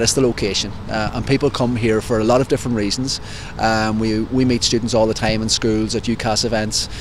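A middle-aged man who manages student recruitment, interviewed outdoors on a campus with a clip-on mic, pausing often with fillers. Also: medium pitch, slight background noise. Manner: hesitant with many fillers. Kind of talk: news interview.

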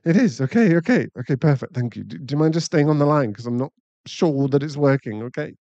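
Man acting a mellow British boyfriend. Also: on the high side, lively intonation, quick pace, no room sound, muffled recording. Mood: anxious, shaken. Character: caring young boyfriend, chatty and easily flustered. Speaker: voice actor in an ASMR boyfriend roleplay. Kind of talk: intimate ASMR boyfriend roleplay acting.

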